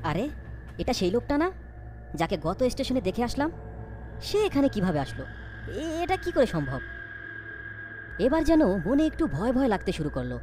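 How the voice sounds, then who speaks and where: A woman's voice: a little high, sing-song, moderate pace, pauses often, noisy background. A woman voicing a young, anxious storyteller in a cartoon ghost story, dramatic, wondering and fearful. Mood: wondering, uneasy. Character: young, anxious storyteller caught in eerie events. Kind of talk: scripted cartoon ghost-story narration and dialogue.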